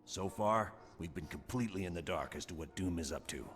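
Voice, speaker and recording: A no-nonsense commander briefs the team in clipped, direct words. His voice is low. Long reverb hangs on it over faint music. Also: expressive, a little fast. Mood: briefing. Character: gruff male spy commander, no-nonsense. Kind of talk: mission briefing.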